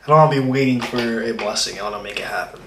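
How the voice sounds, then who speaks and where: A man chats informally with slangy phrasing. The room is echoey and there is clear background noise around the voice. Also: fairly low pitch, racing. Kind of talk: casual chat.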